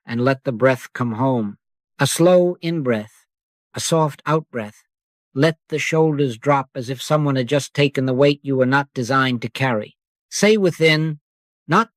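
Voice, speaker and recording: Guy slowly guiding a meditation, scripture cadence, mid pitch, dry close sound. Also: no echo. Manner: slow, hushed, scripture-laden cadence. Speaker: spiritual teacher. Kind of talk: guided meditation sermon.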